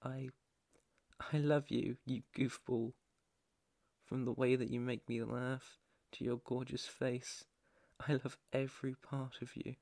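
Casting: male actor for shy, easily flustered British boy best friend, ASMR roleplay; unhurried, creaky, hesitant and tender delivery. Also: medium pitch, little pitch movement, darkish tone. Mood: tender, confessing. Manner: stammering, bashful, tender, hesitant. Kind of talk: ASMR roleplay acting, intimate one-sided dialogue.

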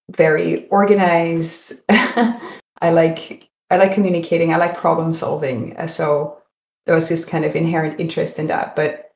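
A woman's voice: low-pitched, a little mellow. A middle-aged woman, chatting as a podcast guest and often repeating words as she goes. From Netherlands. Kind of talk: conversational interview answers. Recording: roomy, echoing sound.

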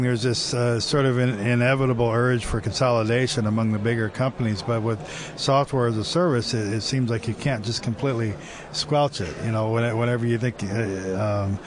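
Joking, mid-pitched male interviewer on a crowded expo floor. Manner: joking, wry, rambling questions. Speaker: interview host. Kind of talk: conversational tech interview. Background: crowd chatter.

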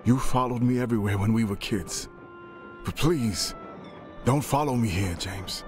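An actor playing a war-weary soldier and protective older brother, reading a letter in a grave, earnest voice-over for a game. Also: male voice, mid-range voice, unhurried, lightly acted. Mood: grave, pleading. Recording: music behind the voice. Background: music.

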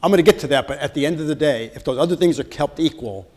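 A man's voice: a little high, fast talker, darkish tone. A middle-aged man, a state senator, giving a casual budget presentation and quizzing his audience in a large function room. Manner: casual, asks the audience questions. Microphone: far camera. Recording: long reverb in a large room.

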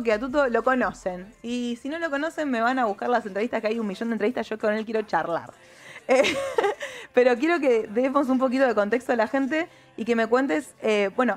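A young woman with an Argentine accent, hosting a casual studio interview into a handheld mic, chatty with many fillers. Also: on the high side. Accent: Argentine Spanish. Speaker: host. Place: TV studio with red couch. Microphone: handheld microphone.

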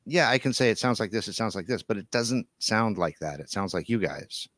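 A man's voice: fairly low pitch, expressive, fairly quick, a bit bright, dry recording. A middle-aged man hosting a podcast, chatting casually with guests over a video call into a close microphone. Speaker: podcast host. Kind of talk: casual interview chat. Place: home room, video call.

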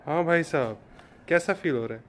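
A man's voice: on the high side, fairly quick, a little hiss or hum. A young man chatting casually with a friend outdoors, in an easygoing, resigned way, picked up by a camera mic. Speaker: friend helping. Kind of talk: casual chat. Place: outdoors in a residential street. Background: loud background music.

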